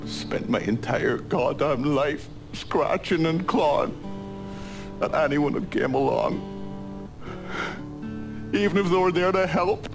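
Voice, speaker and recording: An actor playing a bitter, menacing older mob boss in a crime game, with a slow, sardonic delivery. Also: male voice, fairly high pitch. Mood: anguished, bitter. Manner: slow, brooding, sardonic delivery with sudden outbursts. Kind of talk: cinematic crime game dialogue. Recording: dramatic music behind the voice.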